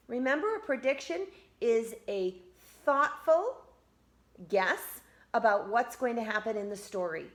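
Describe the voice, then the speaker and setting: Bright-voiced woman reading aloud to children. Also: a little high. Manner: simple, encouraging talk addressed to young children. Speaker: elementary school teacher. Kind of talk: children's read-aloud with explanatory asides.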